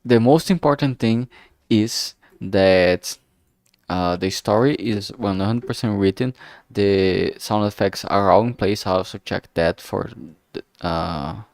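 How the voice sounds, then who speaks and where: A man giving a casual, unscripted livestream update in a halting, rambling way, often trailing off mid-phrase. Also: fairly low pitch, dry recording. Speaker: streamer, visual novel maker.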